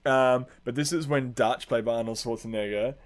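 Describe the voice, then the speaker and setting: A man with a mid-pitched voice hosts a casual film podcast. He rambles enthusiastically in slangy, sweary language, as if chatting with a friend. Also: normal speed. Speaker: podcast host.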